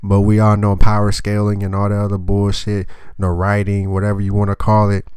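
A man's voice: fairly low pitch, monotone, a little fast, a little mellow, clear, resonant tone, no room sound. A young man with a congested, nasal voice from a cold, ranting casually and profanely to his audience. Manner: blunt, profane, rambling with filler words. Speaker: anime review channel host. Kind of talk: casual vulgar rant to camera.

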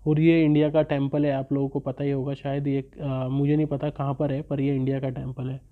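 A man's voice: mid-range voice, monotone, a little fast. A young man chatting casually in a travel vlog, rambling with loose fillers as he walks viewers around. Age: young adult. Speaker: vlogger. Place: small room in front of a curtain, then walking through an expo. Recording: tinny phone-like sound.

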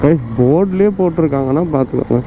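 Young Tamil man, slightly high voice, playful rider's chatter over loud wind and engine noise on a muffled helmet mic. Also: fast. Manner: chatty, playful, talks to viewers as guys. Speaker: motovlogger. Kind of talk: casual chat while riding. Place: on a motorcycle on open roads outdoors. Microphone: helmet mic with the visor open. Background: wind, engine and traffic.

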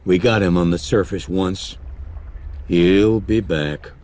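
A man voicing a blunt, gruff older shark fisherman who curses, in an audiobook reading. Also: low voice, takes it slowly, a little mellow. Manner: blunt, curt, cursing. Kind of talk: audiobook character voice.

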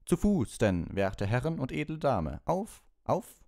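A bombastic knight from a storybook painting. He proclaims in a raised, high and loud voice, full of gallant pomp and old-fashioned heroic phrasing. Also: sing-song, measured pace, creaky, no echo, studio quality. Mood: eager, rallying. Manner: pompous, theatrical proclaiming in antique phrases. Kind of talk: audiobook character voice.

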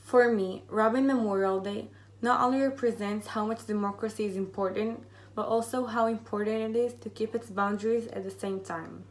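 A teenage female high school student giving a prepared reflection to a camera in a room at home. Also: fairly even intonation, moderate pace. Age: teens. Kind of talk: prepared personal reflection speech. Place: indoors in a bright home room.

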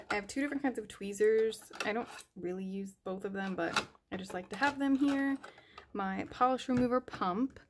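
A woman's voice: mid-range voice, slight background noise. A young woman talking casually while tidying her nail polish desk at home, thinking aloud with fillers and hesitations. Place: home nail room, indoors. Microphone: overhead camera above desk.

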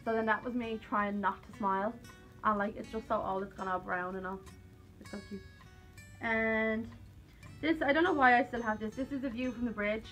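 A young woman vlogger with an Irish accent talks casually to camera. Her voice is bright and a little on the high side, and she wanders into self-deprecating asides. Music plays faintly behind her. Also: fairly even intonation, moderate pace, low-quality recording. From Ireland. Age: young adult. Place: small cluttered room at home, indoors.

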